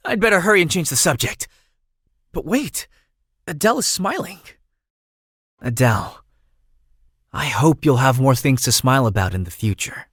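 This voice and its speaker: A young man voicing a gentle, sentimental exiled prince in a video game, speaking in a heartfelt, boyish, self-conscious way. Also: mid-range voice, lively intonation, moderate pace, pauses often, husky, no room sound, clean studio sound. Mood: sheepish. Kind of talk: video game character acting.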